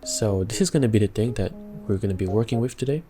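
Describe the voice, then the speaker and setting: A young man giving a casual music production tutorial from a home studio, chatty and relaxed, laughing between steps and using plenty of fillers. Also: on the low side, quick pace. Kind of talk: casual screen-recorded tutorial.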